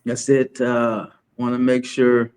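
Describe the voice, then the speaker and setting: This is a man from Chad hosting a casual online talk stream, a voice of middling pitch. He talks loosely, breaking off and restarting his sentences as he juggles the setup and greets whoever has joined. His tone stays easygoing and unscripted. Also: completely flat delivery, darkish tone, smooth. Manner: halting, many restarts while setting up. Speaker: host. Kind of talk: casual livestream interview hosting.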